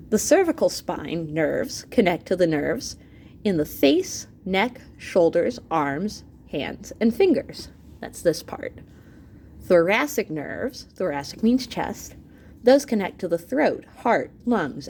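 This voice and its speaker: A woman reading an educational science book aloud as a teacher, expressive and explanatory, pausing to clarify terms. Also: lowish voice, slight background noise.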